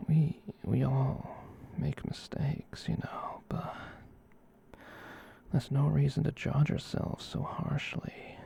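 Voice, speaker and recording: Performer playing a giant catboy, ASMR roleplay, tender and comforting, whispery, dark soft tone, slow halting rambles. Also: mid-range voice, flat delivery, full character voice. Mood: tender, consoling. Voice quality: soft breathy whisper with creaky edge. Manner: soothing, halting rambles with cutesy verbal tic. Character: gentle giant catboy, former wizard and alchemist, comforting.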